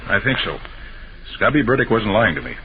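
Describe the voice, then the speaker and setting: An actor playing a shrewd, confident master detective with an assured, emphatic delivery in an old-time radio drama. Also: male voice, lowish voice, very animated, fairly quick. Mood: confident, explaining. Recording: noisy, muffled old radio broadcast.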